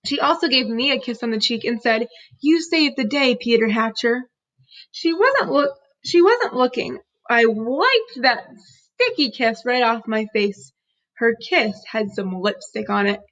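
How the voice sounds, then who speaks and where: High, very animated woman reading aloud. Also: a little slow. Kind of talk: expressive children's book narration. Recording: dull lo-fi recording.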